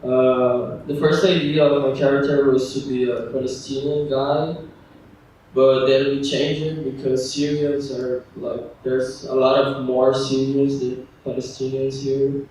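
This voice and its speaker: Brief: young Brazilian man on a panel, slow and flat, hesitant, far away in an echoey hall. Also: medium pitch. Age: young adult. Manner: halting, hesitant, trails off. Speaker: student panelist. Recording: echoey room from far off, faint noise.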